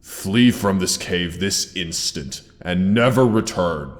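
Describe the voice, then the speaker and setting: Very deep, slow, gravelly dragon. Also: male voice, animated. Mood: commanding, feigned scorn. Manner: slow, theatrical, archaic formal phrasing with pauses. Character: huge male dragon, grandiose yet tender, protective and lonely. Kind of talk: scripted roleplay audio drama monologue. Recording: long cavernous reverb.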